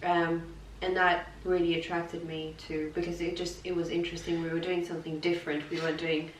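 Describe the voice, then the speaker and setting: A woman's voice: fairly low pitch, level tone, brisk. A young woman from Poland, talking fluently and openly in a casual vlog chat. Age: young adult. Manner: fluent, chatty, open. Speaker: vlogger. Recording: echoey room, mic set far off, faint noise.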